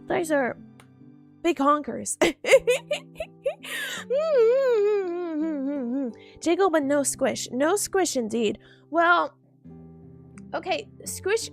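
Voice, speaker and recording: Playful high-voiced woman streamer, lively. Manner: playful, giggly, repeats herself a lot. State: just woken up, groggy. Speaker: VTuber streamer. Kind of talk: casual chat stream talking to viewers. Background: faint background music.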